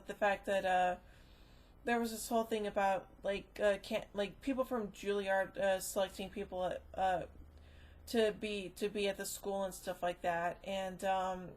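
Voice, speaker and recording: Rambling, halting woman reviewing casually. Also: medium pitch. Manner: rambling and halting, trailing off into filler phrases. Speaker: movie reviewer. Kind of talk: casual unscripted video review.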